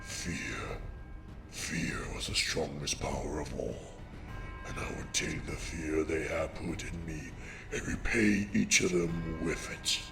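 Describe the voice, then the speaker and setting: A brooding unicorn tyrant in an animated comic drama, very deep and gravelly. He speaks slowly, with bitter menace and a melodramatic edge, over background music. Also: exaggerated voice. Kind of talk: melodramatic comic fandub acting.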